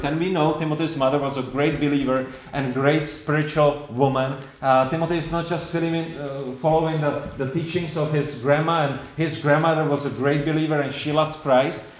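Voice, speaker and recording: A man's voice: a little high, roomy sound. A man with a Czech accent preaching a sermon to a congregation, earnest and repetitive, with many rhetorical questions and restarts.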